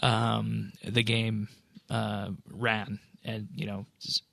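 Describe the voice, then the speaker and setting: Man, podcast co-host, matter-of-fact, creaky bright voice, casual game discussion. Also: on the low side, level tone, extremely slow, no echo, studio quality. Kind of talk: casual panel discussion about online games.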